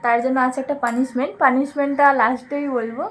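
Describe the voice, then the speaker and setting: Lady doing casual vlog talk, speaks super quick, bit of noise behind her. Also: high voice, steady tone. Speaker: vlogger in an eating challenge.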